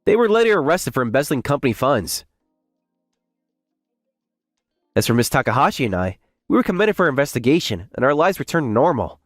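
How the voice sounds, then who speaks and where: A man's voice: mid-range voice, very animated, fast, no echo, studio quality, faint music behind, natural voice. A man playing an earnest, bashful young office worker who also narrates a manga romantic comedy dub. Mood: narrating. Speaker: narrator and lead character. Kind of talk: manga dub acting with first-person narration.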